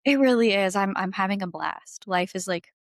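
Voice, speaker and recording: A woman's voice: mid-range voice, normal speed, dry recording. A woman talking casually and enthusiastically as a guest in an interview, effusive about her creative work. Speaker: podcast guest, singer-songwriter and audio drama creator.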